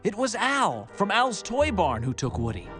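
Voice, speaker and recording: A man narrating a scripted children's read-along story in an expressive, animated storytelling manner. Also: sits high, moderate pace. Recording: music behind the narration.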